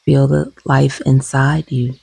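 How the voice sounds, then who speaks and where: A woman leading a guided meditation gently and soothingly, with soft, breathy, husky tones and long unhurried pauses. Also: very deep voice, level tone.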